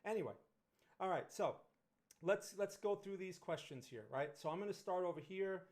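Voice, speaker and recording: A man's voice: high voice, normal speed, darkish tone. A middle-aged man from Northern New Jersey with a New Jersey accent, hosting a casual livestream about trading and often checking in with "right?". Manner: conversational, frequent "right?" tag questions and restarts. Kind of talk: casual livestream market analysis.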